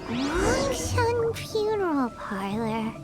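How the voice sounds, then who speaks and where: A woman voicing a tiny, chatty floating fairy companion in a game, with a high, squeaky voice. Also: very animated, extremely slow, full character voice. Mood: puzzled. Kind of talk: game voice acting. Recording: game audio with clear music.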